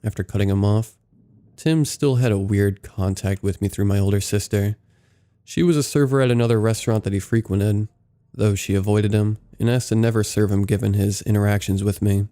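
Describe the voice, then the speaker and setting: A man narrating submitted true scary stories in a steady, low-key reading. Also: a little fast. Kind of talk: scripted horror story narration.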